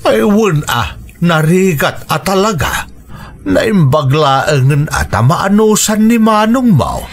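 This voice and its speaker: A man's voice: sits high, very animated, slow pace, exaggerated voice. An actor with a northern Philippine accent playing an affectionate, ageing, homebound father in a radio drama, with music behind him. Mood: tender, wistful. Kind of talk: Ilocano radio drama acting.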